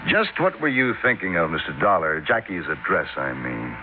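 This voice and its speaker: An American man playing a gruff, loyal trucking company boss in 1950s radio drama, firm, earnest and defensive. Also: sits low, huge pitch swings, moderate pace, soft background music. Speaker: supporting actor in radio drama. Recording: tinny, faintly noisy old radio broadcast.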